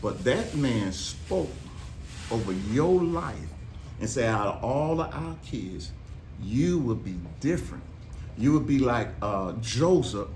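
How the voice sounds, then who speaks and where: A middle-aged father from Carolina, telling family stories in a slow, easygoing, preacherly way. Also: medium pitch, darkish tone. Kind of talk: casual chat. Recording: echoey room, faint noise.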